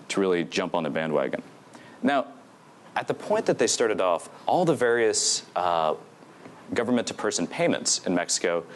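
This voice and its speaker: A man's voice: sits low, slight background noise. A young man giving an academic conference presentation from a podium microphone, speaking fluently with frequent fillers. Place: lecture hall stage at a podium.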